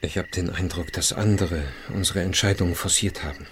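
An actor plays a reserved, uncertain man in an intimate radio drama. His voice is low and subdued, his lines short and measured, with night ambience behind him. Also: steady tone, slight background noise, close to an everyday voice. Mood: reserved, uneasy. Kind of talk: radio play acting, intimate dialogue.

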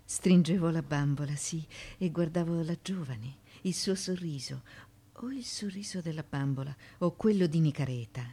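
A woman's voice: low voice, moderate pace, mellow, slightly rough. An actress playing an ancient Greek mother haunted by her childhood, in a theatrical radio monologue of broken musing. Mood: haunted, reminiscing.

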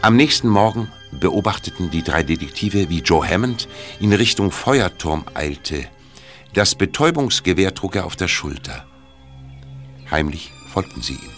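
A male narrator with a deep voice tells a scripted audio drama story. Music plays clearly behind the narration. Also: big pitch swings, moderate pace, fairly bright tone.

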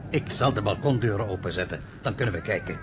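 An actor playing an emotional, proud Cypriot shipowner in a radio play, with a husky, theatrical delivery. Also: male voice, on the low side, expressive, fast talker, heavy background noise, close to an everyday voice. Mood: hospitable. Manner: theatrical, indignant, swings between soft and loud.

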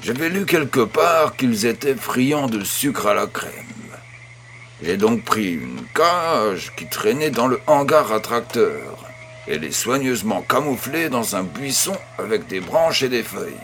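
A man's voice: mid-range voice, big pitch swings, a little slow, a bit bright, a little hiss or hum. An actor playing an affectionate old farmer grandfather, patient and encouraging, in a French audio drama. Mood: storytelling.

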